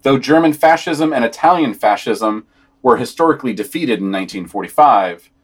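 Slightly husky man reading news summaries. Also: fairly low pitch. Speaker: podcast news reader. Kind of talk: reading written summaries and quotes aloud with commentary.

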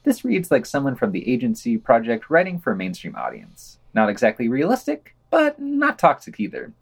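A man's voice: mid-range voice, huge pitch swings, moderate pace, creaky, husky. A man co-hosting a podcast, reading scripted news items and quotations with dry, wry asides.

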